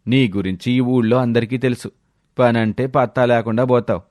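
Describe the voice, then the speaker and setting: A man's voice: mid-range voice, fairly even intonation, normal speed, a little mellow, no echo, clean studio sound, natural voice. A man playing a hardworking village labourer, blunt and reproachful, in a storybook tale. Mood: scornful. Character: hardworking village men scolding a lazy neighbour. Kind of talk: storybook character voice.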